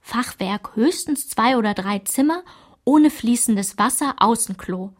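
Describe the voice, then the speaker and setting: A woman performing a narrator who recalls her small-town French childhood in a literary radio play, in a measured, enumerating delivery. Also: a little high, animated. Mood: measured, reflective.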